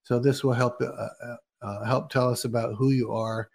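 A man hosting a live online broadcast, chatting to viewers in a loose, rambling way. He stumbles, restarts and fills pauses as he goes, at an even middle pitch. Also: creaky. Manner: rambling, hesitant with many restarts. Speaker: show host. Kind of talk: casual broadcast talk.

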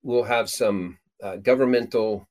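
A middle-aged man with a fairly low voice talks loosely on a chat show. He rambles and keeps restarting his sentences. He was raised in Peru. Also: a little slow, darkish tone. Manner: rambling, many restarts and fillers. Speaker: prophetic minister and show host. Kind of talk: casual chat.

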